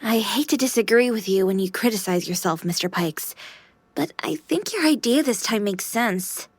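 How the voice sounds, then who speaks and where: A cute anime-style AI maid for a video game, high-pitched and closely, dryly recorded. She is devoted and polite, with a pert, teasing edge. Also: expressive, normal speed, husky, dry recording. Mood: helpful, polite.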